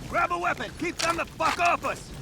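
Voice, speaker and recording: An actor playing a gruff, hard-bitten American covert operations team leader in a military game, speaking in curt, profane orders. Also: male voice, extremely high pitch, moderate pace, very noisy. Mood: urgent, shouting. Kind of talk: cinematic military game acting.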